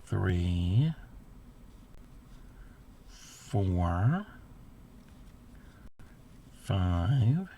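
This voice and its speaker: A man crocheting on a livestream, counting stitches softly under his breath and chatting casually with viewers. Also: low voice, very animated, extremely slow, pauses often, a little hiss or hum. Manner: laughs at himself, chats back to viewers.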